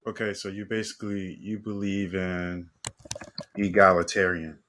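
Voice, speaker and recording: Deep-voiced male interviewer. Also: little pitch movement, normal speed, noisy background. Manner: brief, distracted by connection trouble. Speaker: host. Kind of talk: casual interview questions.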